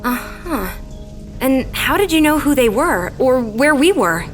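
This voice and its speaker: A woman's voice: high voice, very animated, a little slow. A woman playing a spirited, dutiful and teasing priestess in a fantasy audio drama, with a playfully stern manner. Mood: dutiful, questioning. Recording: voice over background music.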